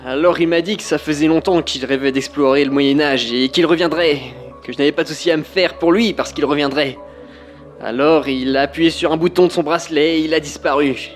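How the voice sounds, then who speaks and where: Higher-pitched, resigned grieving man played by an actor. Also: steady tone, a little fast, soft background music, natural voice. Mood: sad, resigned. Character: man mourning a friend who vanished, resigned. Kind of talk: acted testimony in audio drama.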